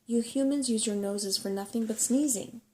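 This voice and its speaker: A woman voicing a crabby, sharp-tongued female brownie from a storybook, complaining and scornful. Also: fairly high pitch, moderate pace, close to an everyday voice. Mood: grumpy, scornful. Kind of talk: storybook character voice in a soft-spoken reading.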